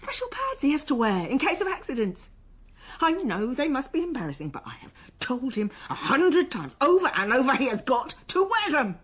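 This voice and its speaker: A husky woman getting grilled by police, radio play, defensive n halting, voice jumps around a lot. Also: high voice, huge pitch swings, full character voice. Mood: exasperated. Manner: halting, emotional, bristling. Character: strained, defensive schoolteacher daughter under police questioning. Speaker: actor in a radio drama. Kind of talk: naturalistic radio drama dialogue.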